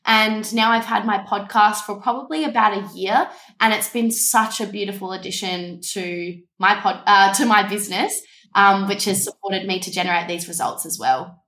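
A woman's voice: medium pitch, moderate pace, sharp tone. Earnest young woman, echoey room. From Denmark. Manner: earnest, reflective storytelling. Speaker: guest, business coach. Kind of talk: casual interview.

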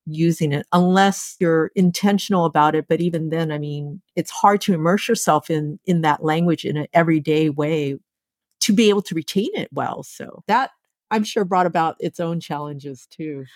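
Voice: medium pitch, moderate pace, no echo. Podcast host who grew up speaking japanese, reflective, lots of you knows, dry close mic. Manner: reflective, frequent "you know". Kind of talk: casual podcast conversation.

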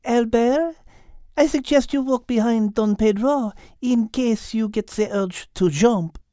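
A man voicing a poised, clever female French cat with measured, polite speech, in an audiobook. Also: extremely high pitch, mellow, smooth, no room sound, studio quality, voice pushed up. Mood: diplomatic, instructing. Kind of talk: audiobook character acting.

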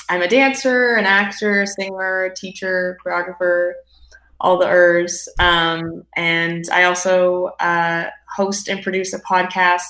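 A woman's voice: fairly low pitch, a bit bright. A young woman with a Canadian accent chatting casually as an interview guest from her home. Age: young adult. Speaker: guest, performing artist. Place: indoors at home. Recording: roomy, echoing home sound.

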